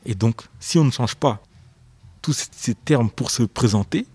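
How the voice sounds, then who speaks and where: A man's voice: medium pitch, a little slow, a little mellow, breathy edge, no echo. A young man, an author being interviewed on the radio, speaking thoughtfully and hesitantly, often repeating words as he searches for phrasing. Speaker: author guest.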